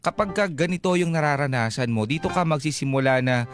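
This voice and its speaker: A man's voice: a little high, a little fast. A Filipino man hosting a radio show in a conversational, empathetic way, recapping and explaining. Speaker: radio host. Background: background music.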